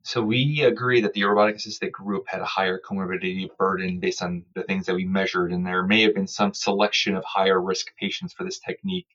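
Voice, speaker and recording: Very deep-voiced, brisk man, dull sound. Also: fairly bright tone. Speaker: interview guest, surgical researcher. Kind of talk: prepared-sounding explanatory answer.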